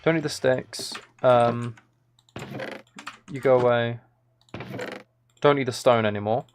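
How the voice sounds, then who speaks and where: A man's voice: medium pitch, fairly even intonation, slow pace. A man livestreaming a game with casual commentary, muttering to himself in a mildly exasperated way. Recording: keyboard typing and clicking noise. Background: keyboard and mouse clicks.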